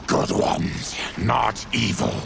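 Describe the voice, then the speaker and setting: Deep, gravelly mutant warrior. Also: male voice, very noisy, full character voice. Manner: slow, grave, terse. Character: gravelly, solemn disfigured mutant warrior, adult male. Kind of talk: dramatic video game cutscene acting. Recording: loud music under the voice.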